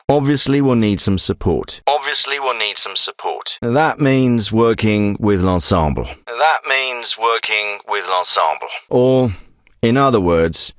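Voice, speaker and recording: An actor playing a businesslike special forces commander in a military video game, speaking in a clipped, authoritative, terse way. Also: male voice, lowish voice, bright, forceful tone, dry recording, clean studio sound. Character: gruff, businesslike male special forces commander briefing an operative.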